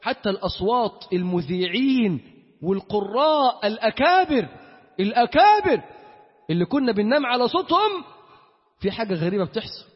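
A man's voice: high voice, very animated, fairly quick, breathy edge. A man with an Egyptian Arabic accent preaching an Islamic sermon in an emphatic manner, repeating key phrases. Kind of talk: Friday sermon with recitation and supplication. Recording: echoey hall with long reverb, dull sound.

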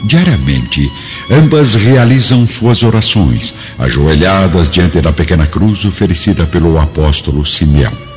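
Casting: male narrator, very low voice with sweeping intonation, Brazilian accent, solemn radio-drama storytelling. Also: animated, a little slow. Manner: solemn, formal. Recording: dull lo-fi radio drama, music behind.

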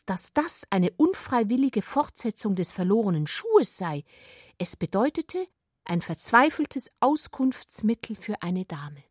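A woman narrates literary prose in a fairly high, breathy voice. Her delivery is deliberate and expressive, sometimes sinking toward a whisper. The sound is close and dry, as in a radio drama studio. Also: no room sound. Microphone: close, dry studio microphone.

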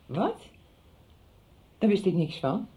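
A woman's voice: medium pitch, big pitch swings, slow pace, dark tone, distant mic. A middle-aged actress playing a strict, interrogating mother in a radio soap. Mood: concerned, probing. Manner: stern, interrogating. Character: strict mother confronting her teenage son. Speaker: actor. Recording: dull roomy radio recording, off-mic.

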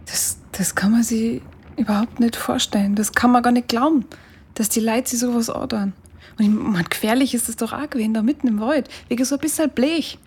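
Shaken woman, halting delivery, strongly breathy, slightly high register, radio drama. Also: darkish tone. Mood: disbelieving, confused. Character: shaken woman listening to a veteran's war story.

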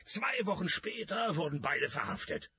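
An actor playing a cynical, wisecracking private detective who narrates a radio crime comedy in a deadpan, gravelly voice. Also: male voice, high-pitched, normal speed. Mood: dry narrating. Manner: deadpan, sardonic.

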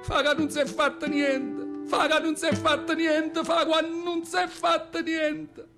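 A man's voice: very high voice, level tone, measured pace, creaky, exaggerated voice. A male actor playing an elderly, devout southern Italian mother with a Neapolitan accent, telling her memories in a plaintive, emotional radio monologue. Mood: anguished pleading. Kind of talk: theatrical radio monologue in dialect. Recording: guitar music behind the voice. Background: acoustic guitar music.

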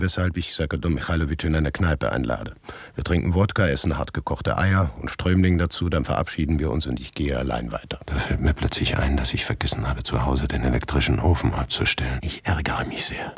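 An actor playing a restless, irritable writer who narrates his own absurd story in a radio play, with a gravelly, husky voice and an intimate delivery that often drops toward a whisper. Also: rumbling low, brisk, no echo, exaggerated voice. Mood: measured, uneasy, then irritable, brooding. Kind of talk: dramatic first-person radio play narration.

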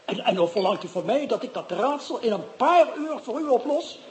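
A fussy pedantic old-school professor. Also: male voice, very high voice, lively intonation, a little fast, a little mellow. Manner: pedantic, long-winded, irritable. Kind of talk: radio drama acting.

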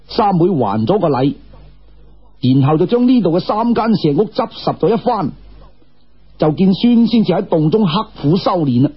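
A very raspy man tells a story in Cantonese storyteller style. His voice sits a little high, and his phrasing is colloquial and dramatic. The recording is slightly dull, like old radio. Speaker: audiobook storyteller. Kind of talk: traditional Cantonese storytelling narration.

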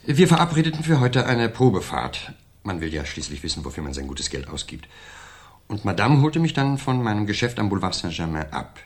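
A suave businessman under questioning in a 1970s radio crime play. His deep voice rises and drops expressively. He is courteous and self-important, with a nervous, over-explaining manner. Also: big pitch swings, brisk. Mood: explaining, evasive. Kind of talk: 1970s German radio crime drama acting.

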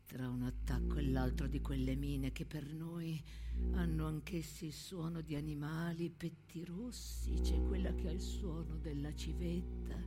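An actress playing a weary, maddened soldier pleading from the trenches, subdued and halting, in a theatrical monologue. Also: female voice, a bass voice, pitched below the performer's own voice, heavily acted. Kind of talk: dramatic monologue set to music. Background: music.

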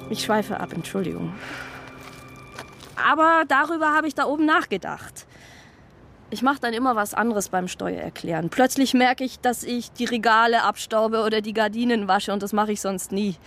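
A woman's voice: fairly high pitch, close to an everyday voice. An actress playing a chatty woman who rambles and keeps digressing, in a radio drama monologue. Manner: rambling, digressive, self-interrupting. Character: chatty woman rambling about her tax return. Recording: radio drama with clear music behind.

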